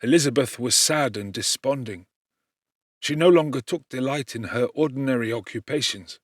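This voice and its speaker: A male audiobook narrator giving a measured, intense first-person reading of a classic novel. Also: medium pitch, no echo. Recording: dry close studio.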